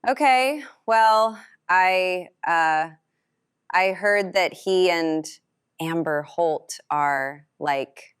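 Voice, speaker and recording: An actress playing a friendly, gossipy, affectionate shopkeeper in naturalistic audio drama dialogue, with a playful, drawling manner. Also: female voice, on the low side, very slow, a bit bright, clean tone, no room sound, studio quality. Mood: coy gossiping. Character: friendly, teasing small-town shopkeeper woman, gossipy and affectionate.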